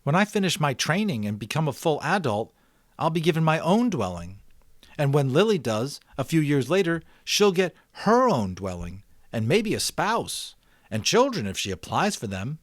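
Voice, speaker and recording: A man's voice: a little high, sing-song, normal speed, no room sound, clean studio sound, pitched above the performer's own voice. A man voicing an earnest, curious young boy in an audiobook, talking eagerly and questioningly as he reasons aloud. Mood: earnest, reasoning. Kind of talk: audiobook character voice.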